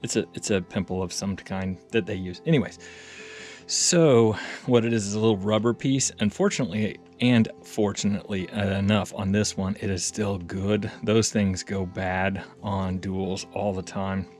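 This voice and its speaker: A man's voice: lowish voice, fairly even intonation, moderate pace, a little mellow, faint music behind. A man giving casual, hands-on repair commentary, rambling and thinking aloud in a folksy way. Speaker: audio repair vlogger.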